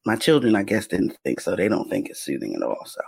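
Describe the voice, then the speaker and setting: A woman hosting a podcast, talking in a casual interview chat with a low, raspy voice that gets mistaken for a man's on the phone. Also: big pitch swings, fast talker, a little mellow, no room sound. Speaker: podcast host.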